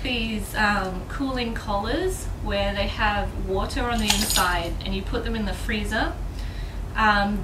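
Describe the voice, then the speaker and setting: A young woman vlogging to camera about her dog, chatty and full of fillers and light laughs. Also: a little high. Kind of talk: casual vlog talk to camera. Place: living room floor, then a bedroom vanity. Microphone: camera across the room. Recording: roomy, distant, noisy.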